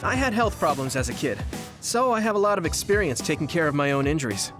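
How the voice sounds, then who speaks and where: A man playing a friendly, easily flustered young soldier in an English anime game, expressive and boyish. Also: a little high, fast, over music. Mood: open, casual.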